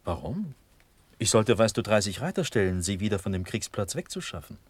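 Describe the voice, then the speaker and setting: A dreamy young Prussian prince for a classical radio play. His voice is low and husky, recorded very dry. He speaks impulsively and distractedly, with theatrical leaps of pitch. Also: very animated, fairly quick, no room sound. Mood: eager, infatuated.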